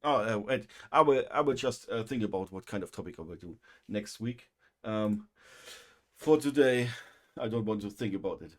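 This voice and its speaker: A man with a German accent, a native German speaker, hosting a livestream and chatting casually, with a halting delivery full of pauses and fillers. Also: fairly low pitch, lively intonation. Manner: halting, many fillers and restarts. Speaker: livestream host.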